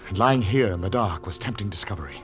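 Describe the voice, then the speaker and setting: An actor playing a haunted secret agent on the run, narrating in dramatic, deliberate, clipped phrases in 1940s radio thriller style. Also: male voice, on the low side, animated, soft background music, natural voice. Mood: urgent, controlled. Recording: noisy 1940s radio recording with clicks.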